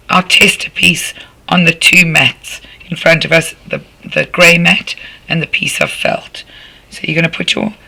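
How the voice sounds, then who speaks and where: A man with a croaky voice, patiently walking a class through a craft demonstration step by step as their art tutor. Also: fairly high pitch, pushed and bright, poor audio. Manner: patient, instructive, talking while working with hands.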